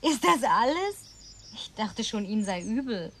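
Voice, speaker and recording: Wry city lady in a radio play, fairly high voice, teasing but cool, faint insecty noise around. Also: very animated, moderate pace, fairly bright tone. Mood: defensive. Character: worldly, wry, indulgent city woman who drinks. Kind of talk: naturalistic German radio play dialogue.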